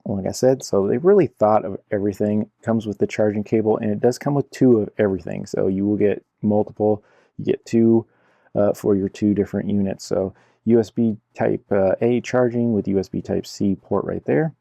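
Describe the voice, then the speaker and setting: A man's voice: on the low side, fairly even intonation, moderate pace, gravelly, dark tone, dry recording. A man giving a casual hands-on product review in an informal, explanatory way, repeating himself as he goes. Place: indoor room at a cluttered computer desk.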